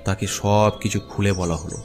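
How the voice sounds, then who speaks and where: A man's voice: low-pitched, steady tone, normal speed, darkish tone. A man narrating a scripted first-person horror story as its storyteller. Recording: clear music bed under narration. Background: background music.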